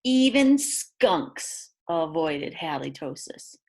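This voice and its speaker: A woman reads a picture book aloud to young children in an expressive way, adding chatty asides about the pictures. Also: deep voice, takes it slowly. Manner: expressive, exclaims and gasps over the pictures. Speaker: read-aloud storyteller for children.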